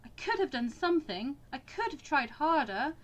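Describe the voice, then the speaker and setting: Woman playing a lively high-pitched bookish student girl. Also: normal speed. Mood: resigned. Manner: expressive, introspective. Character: anxious, bookish teenage girl student mediator, wryly sarcastic. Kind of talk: dramatic reading of visual novel monologue.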